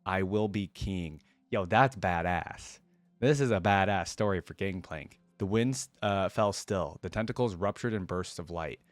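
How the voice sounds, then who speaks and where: A man with a fairly low voice and a close, dry sound hosts a reaction video. He reads fantasy prose aloud and drops in informal, slangy asides of his own. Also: moderate pace, no room sound. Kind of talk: reading a fantasy story aloud with casual commentary.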